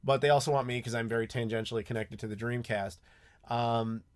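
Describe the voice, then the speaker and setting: A man's voice: medium pitch, steady tone, brisk. American guy chatting on a live stream, lots of ums, mid voice, keyboard clacking now and then. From United States. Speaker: YouTube host answering live chat. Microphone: desk mic with clacking mechanical keyboard.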